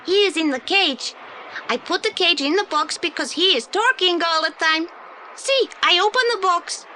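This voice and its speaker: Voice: extremely high pitch, moderate pace, sharp tone, a little hiss or hum, rough recording. An actor playing an earnest, polite young boy with a Spanish accent and broken English in an audio play. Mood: earnest, eager. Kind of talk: 1980s audio play acting.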